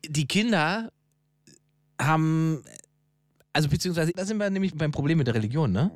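A man's voice: a little high, lively intonation, normal speed, no room sound. Blunt, casual man in a dry studio sound. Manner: blunt, colloquial, explaining at length. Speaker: podcast co-host. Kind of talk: casual conversational discussion.

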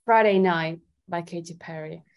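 A woman's voice: lowish voice, normal speed. A woman from Italy in a casual interview as a guest, thinking aloud and hesitating as she answers. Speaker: guest singer-songwriter.

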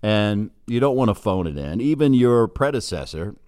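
A man's voice: lowish voice, very animated, normal speed, a little mellow. An American man chatting casually as he hosts an interview, in a jokey manner with chuckles as he talks. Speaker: podcast host, sports broadcaster.

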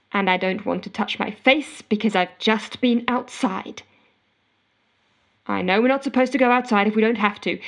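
An actress playing an exasperated, frantic office worker, telling her woes melodramatically in an audio drama voicemail monologue. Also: on the high side. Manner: melodramatic, indignant storytelling with sarcastic quoting. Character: exasperated, frantic office woman ranting to a colleague.

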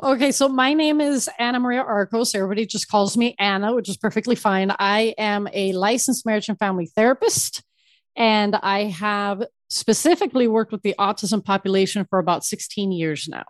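A middle-aged woman therapist, born in Ecuador and raised in Miami, telling her story in an animated way as a podcast guest. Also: medium pitch, fairly quick, no room sound. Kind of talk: casual interview storytelling.

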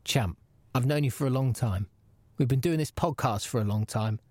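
A man's voice: medium pitch, moderate pace, a little mellow, no echo, lightly acted. Man acting as insistent podcast interviewer, dry sound. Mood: concerned. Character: exasperated male podcast interviewer pressing his wrestler friend. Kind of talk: comedy audio drama dialogue.

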